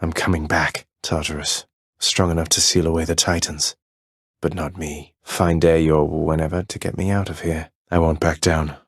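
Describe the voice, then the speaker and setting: A man playing a defiant, wry young underworld prince in a video game, with a low husky voice and a dry, understated, sardonic delivery. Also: little pitch movement, moderate pace, no room sound, full character voice. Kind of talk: video game character battle barks.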